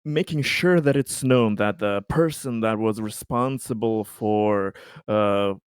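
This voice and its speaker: A man playing a tabletop roleplaying game, casually narrating a character's plans in halting, hesitant phrases with long pauses. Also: fairly low pitch, clean tone, no echo, studio quality.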